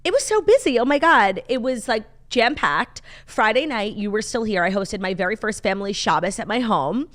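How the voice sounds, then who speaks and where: A woman co-hosting a casual morning talk show, excitable and gushing, with casual swearing. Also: on the high side, normal speed, hardly any pauses. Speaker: podcast co-host. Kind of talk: casual chat.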